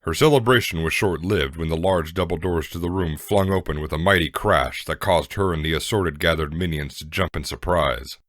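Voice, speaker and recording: A man with an extremely deep voice narrates a story from a script. The recording is dry and close, with little room sound. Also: normal speed, hardly any pauses, fairly bright tone, dry recording.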